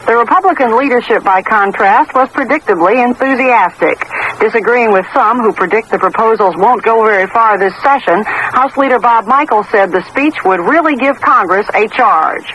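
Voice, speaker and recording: A woman's voice: on the high side, normal speed, fairly bright tone, low-quality recording. A woman with an American accent giving a scripted radio news report as a political correspondent. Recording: old radio broadcast with faint hiss.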